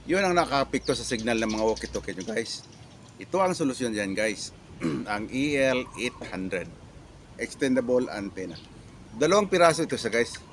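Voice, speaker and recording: A Filipino man giving a casual product demo as a reviewer, talking in a halting, repetitive way with catchphrases. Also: mid-range voice, expressive, a little hiss or hum.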